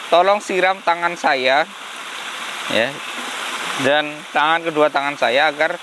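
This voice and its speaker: A man's voice: fairly high pitch, background noise. A man with a slight cold talking casually to viewers as a host, often breaking into religious exclamations. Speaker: paranormal channel host.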